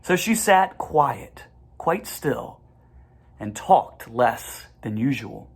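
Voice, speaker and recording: A reader narrating a children's storybook aloud in measured, deliberate phrases with the occasional stumble. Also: medium pitch, lively intonation, creaky, slightly rough.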